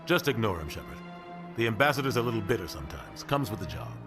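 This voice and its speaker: An actor playing a world-weary military officer in a video game, matter-of-fact and clipped. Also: male voice, on the low side, big pitch swings, fast talker, over music. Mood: guarded. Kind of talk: video game character acting.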